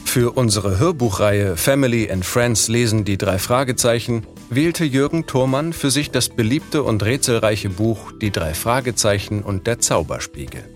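A man's voice: mid-range voice, lively intonation, moderate pace, few pauses, faint music behind. A man reading a scripted podcast introduction as narrator.

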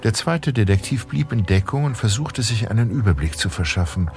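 A man reading scripted narration for an audio drama in a measured, suspenseful storytelling manner. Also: deep voice.

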